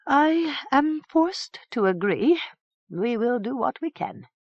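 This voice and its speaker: An audiobook narrator voicing a sly, scheming priest who talks in a smooth, ingratiating way. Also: sits high, animated, slow, no room sound, dull audio, pitched above the performer's own voice, full character voice. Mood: alarmed. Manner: smooth, ingratiating, bleating when alarmed.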